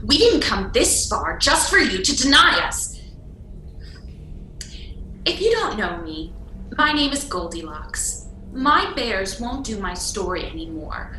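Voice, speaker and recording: A woman's voice: high voice, bright, forceful tone, heavily acted. A woman playing a sassy, bold little fairy-tale girl in a radio play, brash, cheeky and defiant. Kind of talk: irreverent radio play acting. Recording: roomy, faintly noisy recording.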